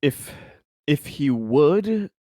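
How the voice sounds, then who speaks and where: A man playing a tabletop game, narrating his character in deliberate, wordy, mock-formal phrases. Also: a little high, big pitch swings, mellow, dry recording, studio quality. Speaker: player in a tabletop roleplaying game.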